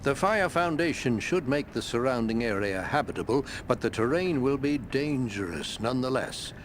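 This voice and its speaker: A man's voice: mid-range voice, expressive, normal speed, a little hiss or hum, lightly acted. A man playing a polite magical guide in a fantasy video game, formal and inquiring. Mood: polite, cautioning. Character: polite magical guide who transports adventurers. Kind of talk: video game character acting.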